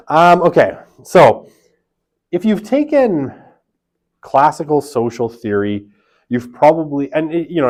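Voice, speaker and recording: A man teaching a university sociology lecture in a classroom through a room microphone, casual and chatty, with a big voice, lots of fillers and tag questions. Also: mid-range voice. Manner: self-described big, loud voice. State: half asleep from early rising. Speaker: sociology instructor.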